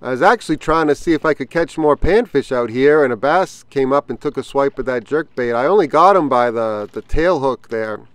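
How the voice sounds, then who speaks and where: A man's voice: mid-range voice, a little fast, hardly any pauses, dry recording. Man explaining fishing from a boat. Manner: relaxed explanatory, thinking aloud with fillers. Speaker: fishing channel host. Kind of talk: casual outdoor fishing vlog. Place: small boat on a pond outdoors. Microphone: action camera on the boat.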